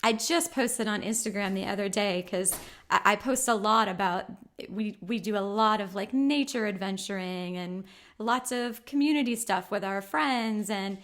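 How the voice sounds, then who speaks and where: A woman's voice: mid-range voice, normal speed, a bit bright. An American woman hosting a podcast in casual conversation, speaking in an earnest, emphatic way. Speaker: podcast host.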